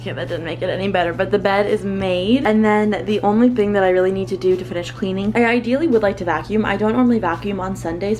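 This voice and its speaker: A young woman talking casually to viewers in an informal, rambling vlog style, often interrupting herself. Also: mid-range voice. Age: young adult. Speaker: lifestyle vlogger.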